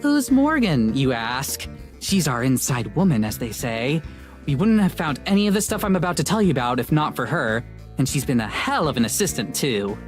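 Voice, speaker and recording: A woman's voice: very deep voice, big pitch swings, normal speed. A woman playing a young, salty-tongued paranormal show host in a visual novel, hiding heartbreak under casual patter. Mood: showy cheer. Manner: casual, salty, performed cheer. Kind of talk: visual novel character acting. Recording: music behind the voice.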